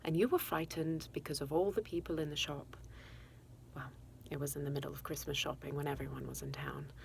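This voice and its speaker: An actress playing a regretful, quick-tempered mother confessing to her estranged son, in a halting dramatic monologue. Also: low-pitched, fairly even intonation, gravelly, a little hiss or hum. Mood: quiet, remembering. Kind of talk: dramatic monologue, self-taped audition.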